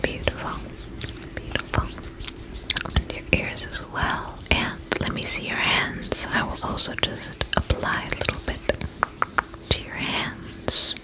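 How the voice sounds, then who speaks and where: A woman doing an ASMR roleplay as a spa attendant in a breathy whisper, soothing and repeating short reassuring phrases. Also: unhurried, low-quality recording.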